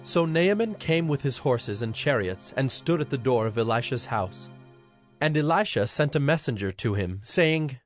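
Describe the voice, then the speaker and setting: A man's voice: medium pitch, lively intonation, moderate pace. A man giving scripted narration for a dramatized scripture recording. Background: instrumental music.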